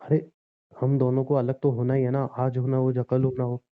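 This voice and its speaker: A man's voice: medium pitch, monotone, moderate pace, natural voice. A man playing a resigned, injured husband in a relationship audio drama, reasoning insistently and pleadingly with his estranged wife. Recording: tinny, narrow phone-like sound.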